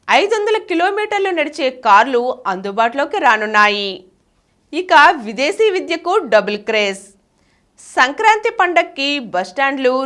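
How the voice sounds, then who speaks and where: Female narrator for scripted explainer. High register, highly varied melody. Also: a bit bright. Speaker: narrator reading an article for a channel.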